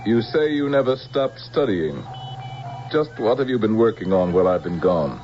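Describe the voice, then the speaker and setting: An actor playing a suave, soulless, threatening general scheming for power in a radio drama, speaking with silky formality. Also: male voice, lowish voice, animated, normal speed, natural voice. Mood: suspicious. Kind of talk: 1970s radio drama acting. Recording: muffled vintage radio recording with music.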